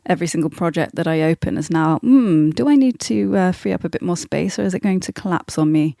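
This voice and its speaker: A woman's voice: lowish voice, quick pace, vocal fry, dark tone, no room sound, clean studio sound. A woman hosting a podcast, asking questions in a casual, chatty interview style. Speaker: podcast host.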